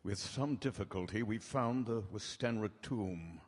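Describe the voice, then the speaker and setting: A grave doctor telling a dark tale in old-time radio drama style. His phrasing is measured and dramatic, his voice husky, with the long reverb of a live hall. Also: lowish voice. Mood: grave dread. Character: grave doctor recounting vampire horrors from his journal. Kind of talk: live radio drama narration in 1930s-40s manner.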